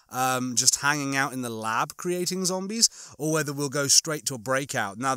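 A man's voice: on the high side, sharp tone, no room sound, studio quality. A man hosting an audiobook channel, chatting to his listeners and reading out comments with upbeat, swooping emphasis.

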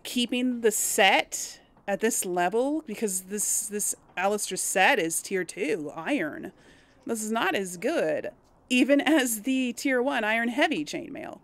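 A woman's voice: on the high side, lively intonation, unhurried. A woman giving casual let's play commentary, hesitant and musing as she thinks aloud to herself. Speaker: gaming host.

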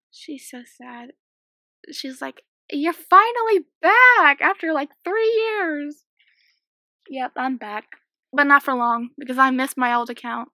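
A sixteen-year-old girl with a slightly croaky voice, chatting and giggling casually while commentating a game she is playing at home. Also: high-pitched, pushed and bright, dry recording, clean studio sound. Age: 16, teenager. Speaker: gaming YouTuber. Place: small home room.

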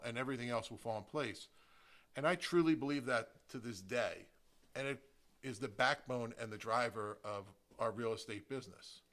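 A middle-aged man with an American accent presents a prepared podcast monologue. His voice is bright and forceful at a medium pitch, and he phrases things emphatically and deliberately. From New Jersey, United States. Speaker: podcast host, real estate agent. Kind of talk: prepared solo podcast introduction.

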